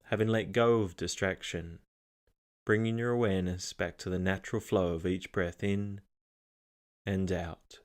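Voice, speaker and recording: A man narrating a scripted guided meditation, giving gentle instructions in a calm, measured manner. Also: low-pitched.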